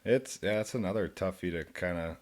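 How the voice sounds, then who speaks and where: A young man with a deep, low voice, a country musician guest in a studio, talking in a laid-back, rambling way and laughing often. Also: fairly quick, a little mellow. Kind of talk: casual interview chat. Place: radio studio.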